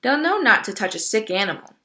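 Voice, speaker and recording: A woman voicing a calm, optimistic, reassuring tree in a storybook. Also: on the high side, brisk.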